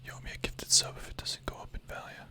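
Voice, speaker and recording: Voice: fast, pushed and bright. A young adult streamer whispering ASMR-style, chatting casually with chat, full of filler words and the odd swear.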